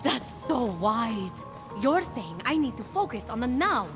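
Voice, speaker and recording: A woman's voice: a little high, very animated, a little slow. An actress playing a bold, upbeat young woman aspiring to be a hero in a video game. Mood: earnest. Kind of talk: video game cutscene voice acting. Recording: music behind the voice. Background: music.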